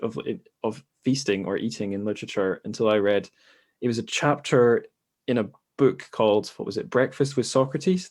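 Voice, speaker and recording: A man hosting a podcast, chatting informally and conversationally about books. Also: lowish voice. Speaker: podcast host. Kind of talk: casual book discussion.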